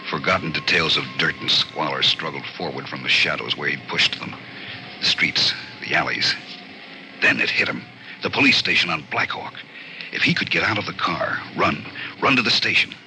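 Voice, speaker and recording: A man's voice: very deep voice, rough recording. A man narrating a 1940s radio thriller in a terse, suspenseful, dramatic scripted style. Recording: old radio broadcast recording.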